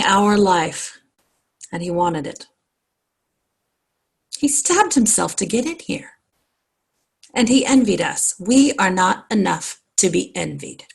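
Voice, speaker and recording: A cultured, wealthy society wife, played by a woman, speaks in an impassioned theatre monologue. Her voice is husky and creaky. She is intense and reflective, weighing her words with deliberate pauses. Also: mid-range voice, full character voice. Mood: earnest, wounded. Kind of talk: dramatic stage monologue. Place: online video call.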